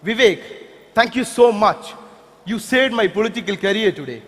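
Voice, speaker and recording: A man acts out a self-righteous, ingratiating politician with an Indian accent in a stage play. His measured voice is husky and fairly high. It carries through a reverberant hall. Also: animated, a bit bright. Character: smooth-talking, self-righteous corrupt politician.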